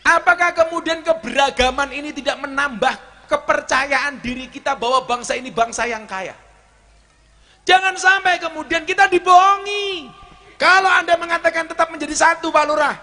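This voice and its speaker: A middle-aged man with a Javanese accent preaching a humorous sermon on an outdoor stage into a handheld mic, joking and swinging between shouts and low asides. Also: moderate pace. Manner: walks the stage with a cane. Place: outdoor stage before a large crowd.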